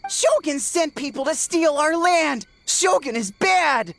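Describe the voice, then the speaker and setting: A woman voicing an agitated, childish and defiant young tanuki creature in an animated game, stammering and indignant in short exclamations. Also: high voice, takes it slowly, vocal fry, faint music behind, exaggerated voice. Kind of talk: animated game cutscene acting.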